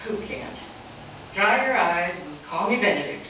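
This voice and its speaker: A woman's voice: on the low side, expressive, unhurried, muffled recording. A woman playing a wry, sensible schoolteacher, articulate and reproving, in old-time radio comedy style. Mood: relenting. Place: live stage performance in a reverberant room. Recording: echoey distant stage recording, faint noise.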